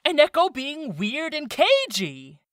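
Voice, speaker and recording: Woman as young elf boy, high-pitched, swooping intonation, blunt, defensive, exasperated, fantasy audio drama. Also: huge pitch swings, takes it slowly, no echo, clean studio sound, heavily acted.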